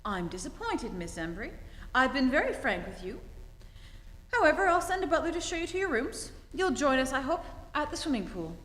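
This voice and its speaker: Haughty witty heiress, acted by a woman, high voice, theatrical and mock-innocent, radio play, long hall reverb. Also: sing-song, a little fast, a bit bright. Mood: nosy, cutting. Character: haughty, witty society heiress, playfully probing and imperious. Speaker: amateur stage actor. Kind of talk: radio play re-enactment on stage. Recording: echoey theatre hall, live stage.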